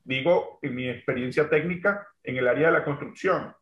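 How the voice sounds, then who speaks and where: A man from Venezuela, a construction entrepreneur speaking as an interview guest. He speaks thoughtfully and fills pauses with "este" and "digamos, ¿no?". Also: medium pitch, fairly bright tone. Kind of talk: remote interview. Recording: roomy, dull lo-fi sound.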